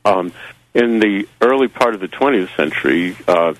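Halting New man on radio. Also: low voice, lively intonation, no echo, poor audio. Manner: rambling, halting, many fillers. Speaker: radio guest. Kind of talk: radio interview monologue.

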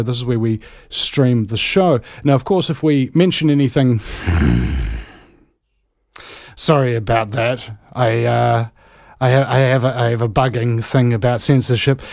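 A man's voice: mid-range voice, vocal fry, a little mellow, no echo, clean studio sound. Rambling male talk radio host. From New Zealand. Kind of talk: casual live talk show monologue.

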